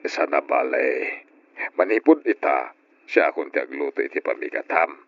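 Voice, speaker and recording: A man playing a middle-aged, hard-drinking widowed father in an old radio drama, with a drawn-out, emotional delivery. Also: very deep voice, expressive. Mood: tender, apologetic. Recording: dull, lo-fi old radio recording.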